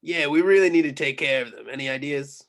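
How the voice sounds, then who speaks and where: A man acts out a scornful, disapproving man in an audio drama. His voice is high for a man and his delivery slightly halting. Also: close to an everyday voice. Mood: menacing. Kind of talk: amateur script reading.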